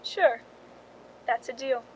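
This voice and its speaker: Actress as a cool, high-voiced scheming film stand-in. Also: female voice, slow, a little mellow, a little hiss or hum, poor audio. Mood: confiding, wry. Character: wry, cool young woman, film stand-in and scheming narrator. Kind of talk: radio drama acting with confessional narration.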